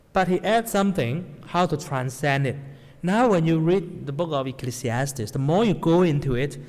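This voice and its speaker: A man's voice: on the high side, darkish tone. Male preacher in a hall. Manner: non-native English with grammar slips, asks the audience "right?". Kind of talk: sermon to a congregation. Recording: long hall reverb.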